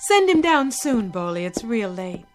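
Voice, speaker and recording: A woman's voice: a little high, very animated, moderate pace, soft background music. An actress playing a fond, chatty mother in a radio drama, with colloquial, folksy phrasing. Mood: fond, amused. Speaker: actor in a radio play. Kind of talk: modern radio drama acting.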